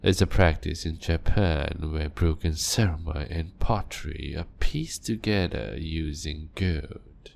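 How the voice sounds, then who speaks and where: A man voicing an ancient male vampire doctor, calm and clinical, speaking softly and often in a whisper in an audio roleplay. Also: extremely low pitch, vocal fry, a little hiss or hum, heavily acted. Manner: soft-spoken and measured, often whispering.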